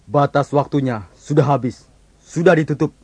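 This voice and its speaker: A man's voice: on the high side, moderate pace, darkish tone, breathy edge, dry recording. A man playing a brusque, impatient palace guard in an audio fairy tale, with a curt manner. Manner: curt, dismissive.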